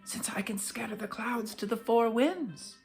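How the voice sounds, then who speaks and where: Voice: medium pitch, unhurried, faint music behind, voice pushed up, close to an everyday voice. A storyteller voicing the wind as a boastful, airy character in a children's folk tale. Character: boastful wind who grudgingly admits weakness.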